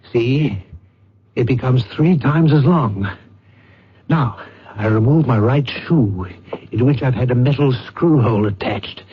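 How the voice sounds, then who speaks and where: A scheming psychic trickster with a husky voice and mid-range pitch. He lays things out with fussy, theatrical self-satisfaction. The dull, lo-fi sound is that of an old radio mystery play. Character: scheming male psychic con man, smooth and self-satisfied. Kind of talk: old-time radio drama acting.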